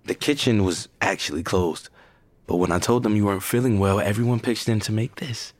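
A man's voice: on the low side, a little fast, dry recording, close to an everyday voice. A man playing a caring but guarded boyfriend, firm and evasive, in an audio drama. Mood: gentle. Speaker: actor in audio fiction. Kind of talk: serialized audio drama acting.

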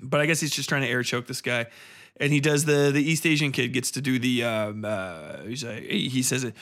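A man's voice: medium pitch, moderate pace, a bit bright. A man hosting a podcast gives a casual, profane film review in a sarcastic, irreverent, rambling way, leaning hard on phrases for emphasis.

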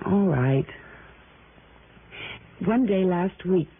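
A woman's voice: on the low side, expressive, drawn-out words, heavily acted. An actress playing a talkative, evasive married woman in an American radio drama, with an American accent and a stammering, deflecting manner. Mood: evasive, flustered. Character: chatty, evasive married woman growing flustered under questioning. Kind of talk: mid-century American radio drama acting. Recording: noisy, narrow-band vintage radio recording.

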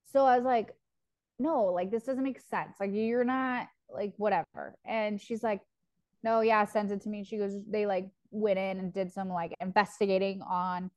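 A woman's voice: mid-range voice, fairly even intonation, normal speed, darkish tone, no echo. Chatty young woman telling a story. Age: 20s. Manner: rambling storytelling with filler "like" and swearing. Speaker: podcast co-host. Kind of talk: casual chat.

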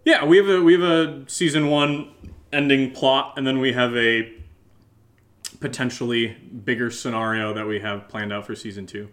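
Man, medium pitch, podcast co-host, loose unscripted chat, informal, thinks out loud. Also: steady tone, moderate pace. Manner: informal, thinking aloud with frequent "like". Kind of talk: casual unscripted chat answering listener questions.

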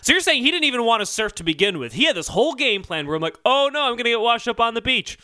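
A man's voice: extremely high pitch, very animated, fast, sharp tone, smooth, no room sound, clean studio sound. A man co-hosting a podcast with casual, joking banter. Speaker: podcast co-host.

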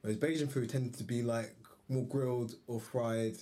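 A man's voice: medium pitch, fairly even intonation, normal speed, warm tone. A man with a mid-range voice chats on a podcast. He thinks aloud, restarts his sentences and works his way to a point. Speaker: podcast guest. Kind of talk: casual conversation.

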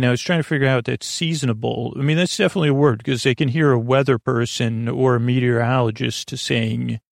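A man with a creaky voice hosting a bedtime podcast in a meandering, halting monologue, often stammering and restarting as he drifts into tangents. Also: medium pitch, no room sound, studio quality. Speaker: podcast host.

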